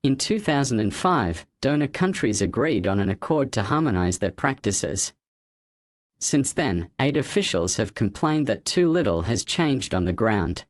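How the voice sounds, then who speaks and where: A man's voice: mid-range voice, very animated, normal speed, dry recording, studio quality. A man reading a scripted practice passage aloud for a language test lesson. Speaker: test-prep reader.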